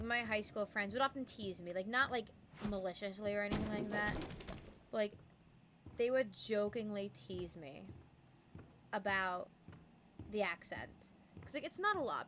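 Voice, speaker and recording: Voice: mid-range voice, sharp tone, slight background noise. A young adult game streamer chatting casually and rambling with lots of "um" and "like", fond of doing voices. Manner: rambling, full of "um" and "like"; does playful voices and mimicry. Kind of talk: casual live-stream chat.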